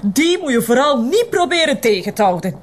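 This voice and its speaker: A woman's voice: sits high, sing-song, brisk. A woman with a Flemish accent playing a cheeky, evasive seventeen-year-old schoolgirl in a TV drama. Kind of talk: TV drama dialogue.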